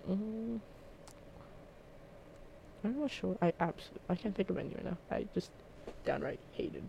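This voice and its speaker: High, gravelly man teasing his co-host. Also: animated, dark tone, slight background noise, low-quality recording. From Philippines. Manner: playful, teasing, quick to laugh. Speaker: podcast co-host. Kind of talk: casual chat.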